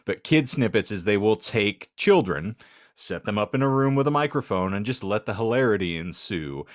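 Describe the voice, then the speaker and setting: A man's voice: fairly low pitch, expressive, moderate pace, no room sound, studio quality. A man co-hosting a podcast with casual comedic banter in wordy, mock-formal phrasing. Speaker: podcast co-host.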